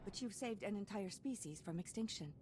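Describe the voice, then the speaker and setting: A woman's voice: mid-range voice, animated, fairly quick, background noise, natural voice. A woman voicing an earnest, politely formal scientist in a video game, speaking precisely. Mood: amazed.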